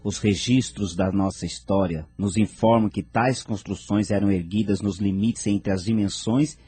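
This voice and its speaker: Man playing a deep, husky wise sage. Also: fairly even intonation, dark tone, no room sound. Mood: grave, explaining. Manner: measured, explanatory. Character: wise, grave male keeper of his people's history. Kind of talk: audio drama acting.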